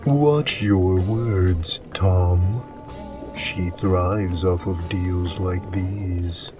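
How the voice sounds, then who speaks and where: A man playing a calm, protective uncle in an audio drama, giving grave and measured counsel. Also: low voice, far from the mic. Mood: grave warning. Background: music.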